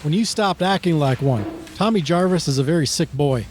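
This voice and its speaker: A man's voice: on the high side, expressive, a little fast, slight background noise, natural voice. A man playing a temperamental small-town sheriff and scolding father in an amateur radio play. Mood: stern. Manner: gruff, scolding, blustering.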